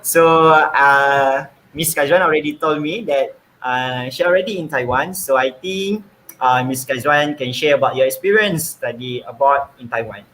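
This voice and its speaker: A man's voice: mid-range voice, a bit bright, low-quality recording. A young man from Malaysia moderating an online student forum, thanking speakers and introducing panelists with frequent hesitations. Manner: hesitant, many filler pauses. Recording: faint background noise.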